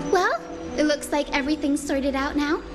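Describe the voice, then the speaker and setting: A woman's voice: high-pitched, lively intonation, takes it slowly. A woman voicing a cheerful, kind young nurse in a fantasy game, sweet, eager and reassuring. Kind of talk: anime-style fantasy game voice acting. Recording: loud clear music behind the voice. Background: game music.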